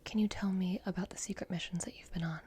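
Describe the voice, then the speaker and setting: A woman performs a soft-spoken ASMR roleplay in a breathy whisper. Her voice sits a little low, and she sounds gentle and reassuring as she plays a technician tending to a patient. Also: fairly even intonation. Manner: gentle, reassuring, unhurried guidance. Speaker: ASMR performer playing a robot repair technician.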